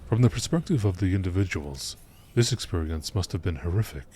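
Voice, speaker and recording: A man reading scripted lore texts in a nature documentary narration style, with measured, deliberate phrasing. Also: low voice, expressive, warm tone, a little hiss or hum.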